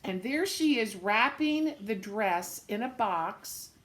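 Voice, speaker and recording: A woman reading a picture book aloud to young children, with gentle, simple explanatory asides. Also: mid-range voice, expressive, takes it slowly.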